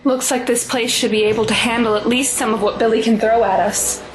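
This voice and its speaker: A woman performs a guilt-ridden mother afraid for her son, her voice pitched high. She is emotional and pleading in audio drama style, with prominent music underneath. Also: fairly even intonation, fairly quick, close to an everyday voice, rough recording. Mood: worried, subdued.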